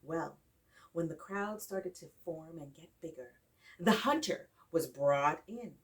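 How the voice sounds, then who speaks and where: Animated woman storyteller, heard from a distance. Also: sits low. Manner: dramatic, deliberate phrasing with emphatic repetition. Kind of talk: expressive oral folktale storytelling. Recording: distant microphone.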